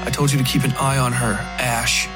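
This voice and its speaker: A man playing an irritable, controlling husband who talks in a curt, scolding way, in a narrated dark fiction story. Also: mid-range voice, little pitch movement, fairly quick, over music, lightly acted.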